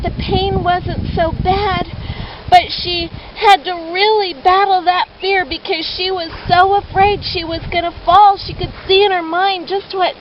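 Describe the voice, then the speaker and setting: A woman tells a children's story in a very high voice, with simple, dramatic phrasing. The recording is muffled, with clear noise behind her. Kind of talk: storytime narration retelling an allegory. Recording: muffled, noisy amateur recording.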